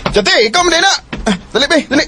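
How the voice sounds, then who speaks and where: Brash, high-pitched Cebuano drinking buddy. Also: male voice, animated, quick pace, background noise. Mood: chummy, urging. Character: brash, nagging male drinking buddy, blunt and chummy. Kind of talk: Filipino radio drama acting.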